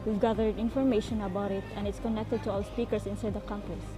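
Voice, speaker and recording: A woman's voice: mid-range voice, flat delivery, quick pace, music in the background, natural voice. A woman playing a stern, businesslike investigator in a radio drama, formal and insistent. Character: stern businesslike female investigator questioning students.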